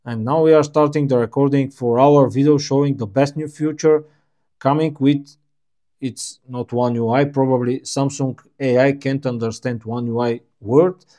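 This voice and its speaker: A man whose first language is Bulgarian talks through a phone's settings at an easy pace. His voice is warm and low in brightness, his phrasing plain and a little halting, like a casual tech walkthrough. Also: steady tone. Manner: unhurried, plainspoken, slightly halting non-native phrasing. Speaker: tech reviewer.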